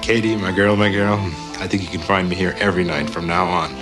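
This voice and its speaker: An actor playing a bashful, flustered male inventor, kindly and smitten, who talks in a halting, awkward stammer. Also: low-pitched, steady tone, close to an everyday voice. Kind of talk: scripted screen drama acting. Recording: music behind the dialogue.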